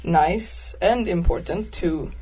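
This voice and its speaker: A young woman from Sweden presents her topic on a radio chat in an earnest way, with frequent small ums between her thoughts. Her voice sits somewhat low for a woman and has a breathy, husky edge. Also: slow, mellow, rough recording. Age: young adult. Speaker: volunteer guest presenting a topic.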